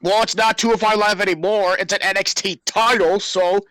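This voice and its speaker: A man's voice: high voice, fairly even intonation, moderate pace, a bit bright, no echo, poor audio. A man co-hosting a casual wrestling podcast, matter-of-fact with an exasperated edge. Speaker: podcast co-host.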